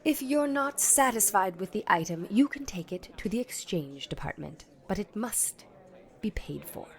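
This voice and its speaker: A woman playing a brisk, exasperated department store saleswoman in a 1940s-style radio comedy. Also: mid-range voice, expressive, natural voice.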